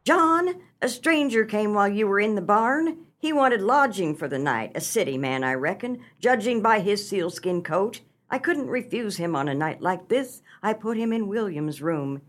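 A woman's voice: medium pitch, lively intonation, normal speed, hardly any pauses, lightly acted. A woman playing a sorrowful old farm wife and mother in a comic radio melodrama. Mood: resigned.

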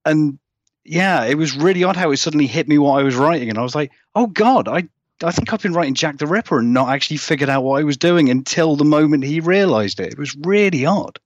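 A man's voice: on the high side, expressive, quick pace, dry recording, dull audio. A man, a guest author chatting casually in a rambling, self-deprecating way. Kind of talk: casual interview chat.

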